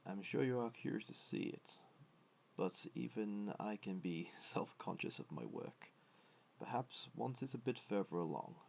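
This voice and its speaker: A man voicing a gentle, ancient god who speaks softly, tenderly and with measured hesitation, in an ASMR comfort roleplay. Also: lively intonation, exaggerated voice. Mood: modest, pleased. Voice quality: low, soft, creaky, breathy at times.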